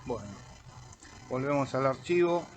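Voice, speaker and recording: An Argentine man, a radio amateur slowly talking through an audio editor tutorial while clicking through menus. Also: mid-range voice, expressive, dull audio, faint music behind. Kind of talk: screen-recorded software tutorial. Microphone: computer microphone.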